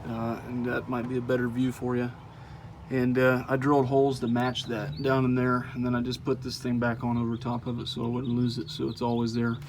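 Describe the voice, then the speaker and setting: A man's voice: mid-range voice, a little fast, a little hiss or hum. A man giving a casual, folksy how-to walkthrough of a truck install outdoors, with frequent "uh" fillers. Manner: relaxed, lots of "uh" fillers, folksy. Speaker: truck owner showing an install.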